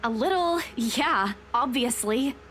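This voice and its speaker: A woman's voice: on the high side, animated, slow, fairly bright tone, slight background noise. A woman voicing a stubborn, flustered young female agent who protests and sulks, in a visual novel read-aloud. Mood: sulky resignation. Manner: protesting, sulky.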